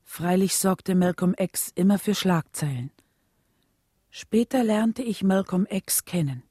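A mellow, husky, solemn wife. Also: female voice, medium pitch, lively intonation, measured pace, no room sound. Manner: solemn, restrained, clearly phrased storytelling. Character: composed, grieving wife of a civil rights leader. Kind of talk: scripted radio drama narration and dialogue.

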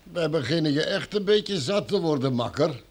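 A man's voice: fairly high pitch, animated, moderate pace. An actor playing a coarse, bullying henchman in a Dutch radio drama, crude and taunting in manner. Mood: menacing, sneering.

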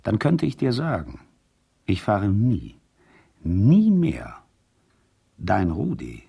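An injured, groggy racer husband grumbling at his friend in a radio play. The voice is lowish, creaky and husky, and it comes out in halting pieces. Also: wildly swooping pitch, warm tone, exaggerated voice. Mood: groggy, reproachful.

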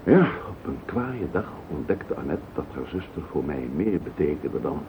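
An actor playing a callous, scheming murderer in a radio play, blunt and cynical, with a gravelly voice. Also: male voice, extremely low pitch, sing-song, moderate pace, heavily acted. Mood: defiant. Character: callous scheming wife-murderer confessing without remorse. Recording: tinny, noisy old radio play recording.

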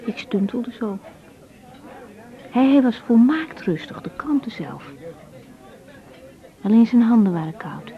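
An actress playing a young, self-doubting woman doctor in a naturalistic radio drama, speaking hesitantly and frankly. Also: on the high side. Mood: troubled, reflective. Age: young adult. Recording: dull 1980s radio recording.

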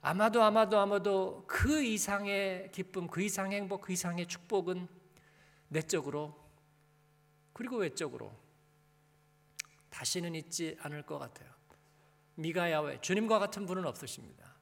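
A man with a high-pitched voice preaches in a large hall with long reverb. He speaks as a pastor in a conversational manner, putting rhetorical questions to the congregation and prompting them with short tag questions. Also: moderate pace, vocal fry, long pauses.

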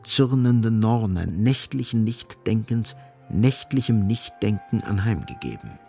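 An actor as the grave, ironic narrator of a literary radio play, deliberate and insistent, with a husky, creaky voice. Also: male voice, mid-range voice, darkish tone, heavily acted.

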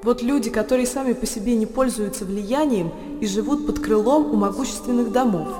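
An actress playing a pensive storyteller who laments human frailty, reciting in a measured, declamatory radio drama style. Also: female voice, fairly high pitch, warm tone, natural voice. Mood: pensive, lamenting. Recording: reverberant hall with faint music.

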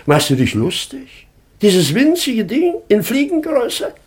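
A man's voice: very high-pitched, huge pitch swings, takes it slowly, breathy edge, exaggerated voice. An actor playing a pompous, fussy, easily piqued baron and father in a German radio comedy of manners. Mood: fussy, exasperated. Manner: pompous, declamatory. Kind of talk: German radio play of a classic stage comedy.

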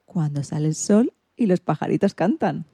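A woman's voice: low-pitched, expressive, moderate pace, heavy background noise. A woman from presenting and narrating a scripted radio story for children.

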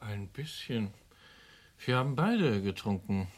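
A man's voice: lowish voice, very animated, slow pace, exaggerated voice. An actor playing an evasive young American impostor in a German radio crime drama, polite and hesitant in his answers. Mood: guarded, evasive. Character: evasive young American man posing as another, outwardly obliging.

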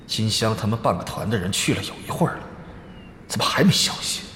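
A blustering male guild boss in an audio drama, agitated and flustered. The voice is bright, tense and very animated, with faint noise behind. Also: fairly low pitch, quick pace. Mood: puzzled. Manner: agitated, forceful. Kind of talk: Chinese audio drama acting.

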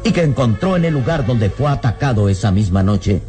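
A man narrating a radio serial from a script in a solemn, suspenseful, dramatic style with deliberate phrasing. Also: medium pitch, lively intonation, mellow. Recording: old radio drama with prominent music behind.

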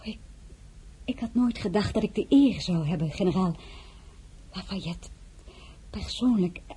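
An actress playing a young crown princess in a radio play, who also narrates in the first person in a hushed, half-whispered way. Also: female voice, medium pitch, animated, unhurried, breathy edge. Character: young French-born crown princess, proud and composed. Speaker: narrator and lead character.

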